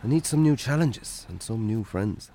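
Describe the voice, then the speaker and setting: An actor playing a weary, wry Irish farmer in a naturalistic radio drama. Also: male voice, on the low side, lively intonation, moderate pace, warm tone, a little hiss or hum. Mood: reflective, subdued.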